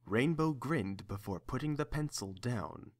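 A man's voice: medium pitch, lively intonation, unhurried. A man narrating a scripted story.

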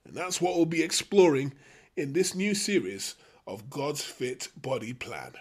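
A man hosting a Bible study, teaching from a script in measured, emphatic phrases. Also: fairly high pitch, expressive, husky. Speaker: host of a Bible study show.

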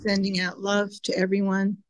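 An older woman with a hoarse voice and a slight cough, offering a heartfelt prayer and chanting a mantra over a video call from home. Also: mid-range voice, monotone, normal speed, poor audio. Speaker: guest minister. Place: home room, indoors. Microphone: video call, phone held close.

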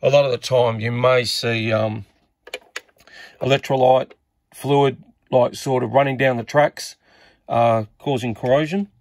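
An Australian man talks through hands-on repair work in a casual, unscripted way. His voice sits at an average pitch with plenty of fry and gravel. He thinks aloud hesitantly, like a hobbyist showing the job as he goes. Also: steady tone, measured pace, long pauses, no echo. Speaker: radio repair hobbyist presenter.

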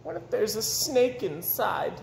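A man's voice: high-pitched, lively intonation, unhurried, gravelly, voice pushed up, full character voice. A man voicing an anxious little girl mouse who frets and questions in a picture-book story. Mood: worried, fretful. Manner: fretful, questioning. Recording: roomy echo, some background noise.

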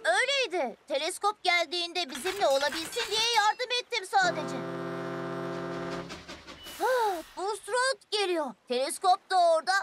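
A woman voicing an eager, proud young male steam engine in a children's cartoon dub, boyish and expressive. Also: very high-pitched, moderate pace, background noise. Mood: eager, cheerful. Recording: music behind the voice.

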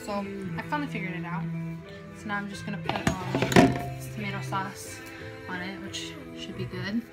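A woman's voice: mid-range voice, steady tone, normal speed, loud music behind the voice. A young woman chatting informally and self-deprecatingly to a camera in a home kitchen, as a casual vlogger. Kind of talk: casual chat to camera while cooking.

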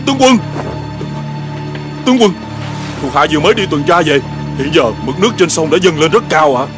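A man playing an earnest, dutiful junior officer in an animated war epic, speaking urgently. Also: a little high, fairly quick, natural voice. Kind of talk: Vietnamese TV dub of an animated historical war epic. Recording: loud dramatic music behind.

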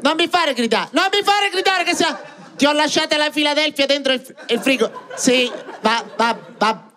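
A man voicing an elderly woman who shouts slowly down the phone on a train. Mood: loud, fussy. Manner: shrill, shouting, slow and drawn out. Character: elderly southern woman phoning loudly on a train.

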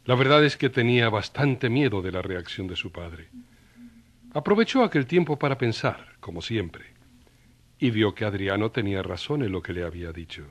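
A man's voice: lowish voice, expressive, normal speed. A man narrating a scripted story in a colloquial, storytelling style.

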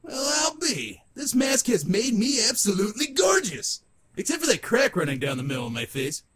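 Wildly swooping manic masked trickster. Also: male voice, high-pitched, huge pitch swings, moderate pace, husky, exaggerated voice. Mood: gleeful boasting. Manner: zany, boastful, theatrical. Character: manic green-faced masked trickster turned crime boss, gleeful and menacing. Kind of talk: comic book dub acting. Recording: loud music behind the voice.

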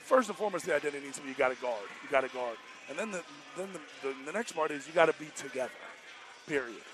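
A man's voice: on the high side, expressive, fast talker. Emphatic American male basketball coach. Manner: emphatic, repetitive, colloquial. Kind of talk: casual post-game interview. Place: indoor basketball arena, courtside. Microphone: headset.

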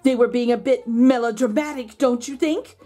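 A woman's voice: fairly high pitch, moderate pace, dark tone, lightly acted. A woman voicing an indignant, self-pitying pet dog in a children's storybook, pleading with dramatic emphasis. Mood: defensive scorn. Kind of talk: children's storybook read-aloud.

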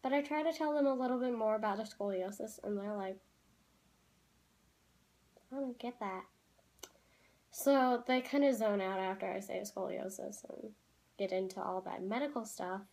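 A teenage girl vlogging from a room at home, rambling in a casual, chatty way with lots of "like"s and quick apologies. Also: medium pitch, a little mellow. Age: teens. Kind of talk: casual chat to camera. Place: small room at home, indoors.

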